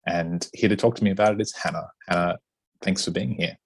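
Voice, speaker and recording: A man hosting a casual interview, chatty and relaxed, with lots of fillers. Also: sits low, fairly quick, creaky, slightly rough. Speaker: podcast host interviewing an author.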